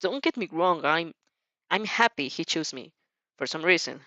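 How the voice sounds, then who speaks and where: A woman voicing a modest, weary teenage schoolboy with a dry, deadpan manner in an audio fan fiction. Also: sits low, normal speed, no room sound.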